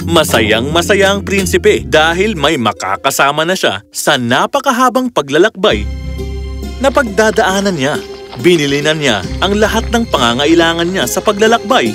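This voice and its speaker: High-pitched male storyteller over music. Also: sing-song, normal speed. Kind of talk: scripted children's story narration. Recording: music clearly behind the voice.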